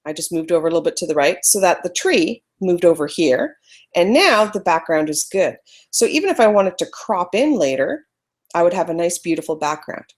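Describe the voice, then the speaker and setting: A woman's voice: on the low side, big pitch swings, brisk. Chatty woman presenting a live webinar. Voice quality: loud voice. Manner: chatty, apologetic, talks through screen-sharing trouble. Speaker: webinar presenter teaching photography. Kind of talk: casual live webinar teaching.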